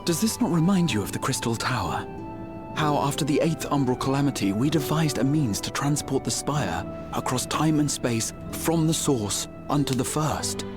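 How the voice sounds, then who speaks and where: An actor plays a learned male adventurer in a fantasy video game. His mid-range voice is measured and analytical, with formal, thoughtful wording. Music plays clearly behind him. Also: mid-range voice, lively intonation, close to an everyday voice.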